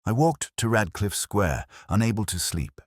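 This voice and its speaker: A man playing a weary, introspective police detective, narrating a crime drama in the first person with reflective, literary, measured phrasing. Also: on the low side, sing-song, no echo, clean studio sound. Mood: brooding, reflective.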